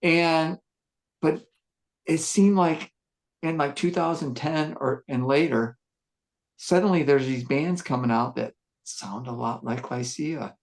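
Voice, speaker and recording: A man with a gruff voice, a musician chatting casually on a podcast, reflective and rambling with many fillers and restarts. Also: fairly high pitch, takes it slowly. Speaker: musician discussing his band.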